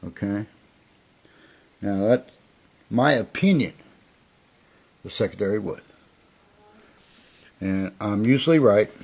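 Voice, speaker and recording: A man's voice: fairly low pitch, slow pace, pauses often, a little mellow, slightly rough. An American man presenting an item as a seller in an informal sales video, blunt and sure of himself, with folksy phrasing. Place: indoor room, narrating from behind a handheld camera.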